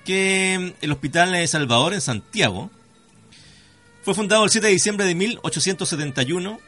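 A man's voice: high voice, normal speed, pushed and bright, faint music behind. A man with a Chilean accent hosting a conversational radio interview, speaking formally and courteously with some hesitation. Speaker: radio host.

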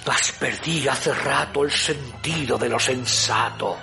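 A man's voice: mid-range voice, vocal fry, music in the background. An actor playing a tormented, half-crazed dying man in a theatrical gothic horror radio monologue. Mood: feverish, trapped. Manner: slow, dramatic, anguished declamation. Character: tormented dying man, trapped among decadent bourgeois cannibals, half-crazed.

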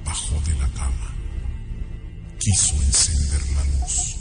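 A man playing a grave, sinister storyteller with a Mexican accent, narrating a horror radio drama slowly and ominously. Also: very deep voice, wildly swooping pitch, vocal fry, heavily acted. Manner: slow, ominous, deliberate. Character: grave, sinister male teller of a horror tale. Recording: loud music under the voice. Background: loud music.

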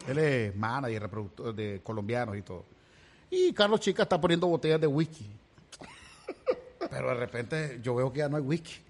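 A man's voice: mid-range voice, animated, normal speed. A man hosting a podcast in Miami, interviewing a guest with joking, teasing banter. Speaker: podcast host. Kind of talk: casual interview chat.

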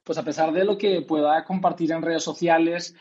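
Dull-sounding young man, higher-pitched voice. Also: steady tone, moderate pace, darkish tone, slightly rough. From Spain. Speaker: interviewed author. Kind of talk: reflective interview conversation. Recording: dull, lo-fi audio.

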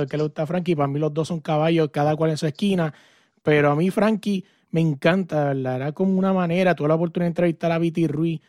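A man's voice: a little high, darkish tone, no echo, studio quality. A man hosting an interview, chatty and enthusiastic, clipping his words. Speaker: interviewer, host. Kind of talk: casual interview.

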